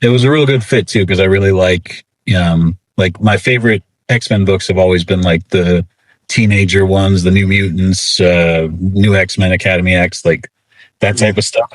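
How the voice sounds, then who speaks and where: Low-pitched man in casual interview. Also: fairly even intonation, normal speed. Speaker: interview guest, comic book writer.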